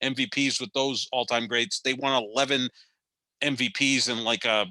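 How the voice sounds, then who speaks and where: A man in his fifties argues his sports opinions in a loose, rambling way, often tripping over his own restarts. His voice is bright and forward, and the recording is dry and close, with no room sound. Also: mid-range voice, steady tone, normal speed, no room sound. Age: 50s. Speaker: podcast co-host. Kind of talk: casual sports debate.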